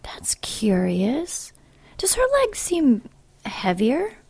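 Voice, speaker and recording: A woman's voice: on the high side, sing-song, extremely slow, creaky, darkish tone, slightly rough, full character voice. A woman playing a devout, caring nun in an audio drama, earnest, pious and given to scolding.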